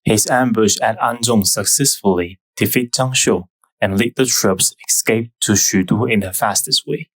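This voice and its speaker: Low-voiced Taiwanese-accented man explaining history. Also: slightly rough, no room sound, low-quality recording. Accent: Taiwanese Mandarin. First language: Mandarin. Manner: careful, measured explaining with non-native phrasing. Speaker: history channel host. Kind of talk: scripted narration.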